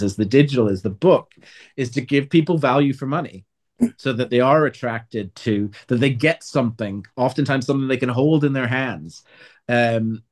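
A man with a middle-pitched voice talks in an earnest interview style. He explains things at length as a guest who writes audio drama. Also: a little mellow.

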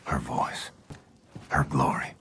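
A man's voice: slow, in a whisper, close to an everyday voice. A man with an American accent playing a weary, dying but defiant soldier in a video game, brooding and often murmuring breathily. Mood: mournful. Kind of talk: video game cutscene acting.

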